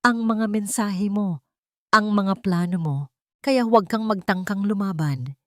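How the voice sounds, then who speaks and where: A woman's voice: medium pitch, unhurried, fairly bright tone, no echo, pitched above the performer's own voice, lightly acted. A manipulative, menacing boyfriend, played by a female narrator, speaks with insistent threat in a dramatic audiobook. The voice is possessive and controlling, recorded dry. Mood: threatening.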